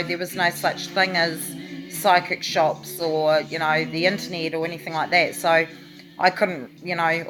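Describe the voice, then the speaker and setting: A woman speaks to camera in a loose, rambling way, often pausing on fillers. Her voice sits on the low side and she takes her time. Music plays clearly behind her. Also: rough recording. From New Zealand. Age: 40s. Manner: rambling, many fillers and restarts. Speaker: vlogger. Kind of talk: casual talking to camera.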